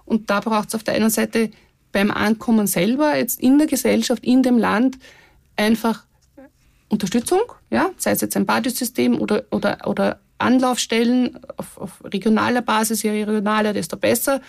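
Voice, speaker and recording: A woman with an Austrian accent speaking as a care organization representative in a podcast discussion, thoughtful, with many pauses to rephrase. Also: fairly high pitch, vocal fry, no echo, clean studio sound. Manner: thoughtful, many fillers and restarts.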